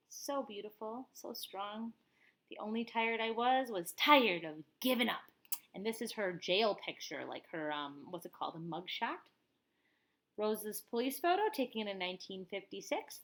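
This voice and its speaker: A woman teacher reading a picture book aloud, with expressive, informal, explanatory asides. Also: medium pitch.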